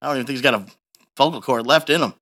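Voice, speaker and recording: A man's voice: mid-range voice, very fast, a bit bright. A man hosting a casual interview, talking in an emphatic, slangy way. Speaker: podcast host.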